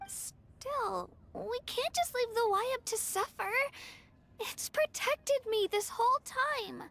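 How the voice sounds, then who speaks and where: Very high, bright young girl adventurer. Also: slow pace, gravelly, heavily acted. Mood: earnest. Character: plucky, earnest young girl adventurer in a fantasy game. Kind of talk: animated video game voice acting.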